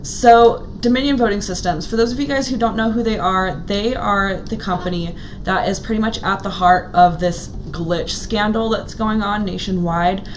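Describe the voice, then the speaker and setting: Slightly hoarse woman vlogging casually. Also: medium pitch, moderate pace, hardly any pauses, slight background noise, low-quality recording. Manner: chatty, earnest, many ums and restarts. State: a little hoarse. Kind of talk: casual talk to camera to live viewers.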